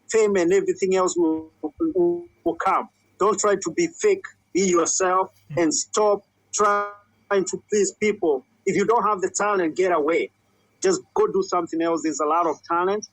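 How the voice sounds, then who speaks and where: A man's voice: sits high, no echo, rough recording. A man from Kenya, a musician and comedian, answering interview questions earnestly and repeating his advice for emphasis.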